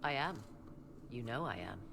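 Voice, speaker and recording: An actress playing a haughty, cunning sorceress in a fantasy game, speaking in an imperious, formal and theatrical way. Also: female voice, very deep voice, expressive, normal speed, gravelly, fairly bright tone, slight background noise, heavily acted. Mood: proud, insistent. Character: haughty, cunning sorceress seeking forbidden power.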